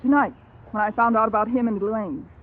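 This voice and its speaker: A woman's voice: a little high, brisk, a little hiss or hum, close to an everyday voice. A woman playing an ambitious, defensive young actress under questioning in a 1950s radio crime drama, proud and evasive. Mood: confessing. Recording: tinny, phone-like old radio recording.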